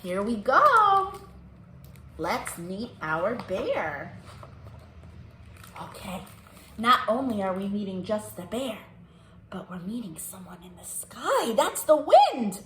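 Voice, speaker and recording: A woman's voice: medium pitch, very animated, takes it slowly, long pauses, fairly bright tone, a little hiss or hum. A woman hosting a children's storytime, reading aloud in a sing-song way and asking young listeners simple questions. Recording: roomy echo.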